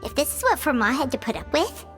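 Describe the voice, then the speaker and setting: Squeaky extremely high voice, tiny perky game sidekick, swooping, childlike, reverb under loud music. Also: female voice, very animated, quick pace. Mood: indignant. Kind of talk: video game voice acting.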